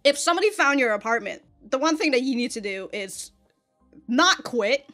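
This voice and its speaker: A woman with an American accent talks in a somewhat high voice over music. She hosts casual reaction commentary without a script. Her delivery is animated and rambling, full of slang and swearing. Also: normal speed. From United States. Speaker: reaction video host.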